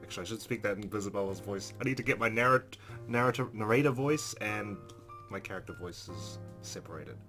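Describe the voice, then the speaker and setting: A man hosting a let's play, reading game narration aloud in a deliberate, dramatic storytelling manner with casual asides. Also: fairly low pitch, a bit bright, faint music behind.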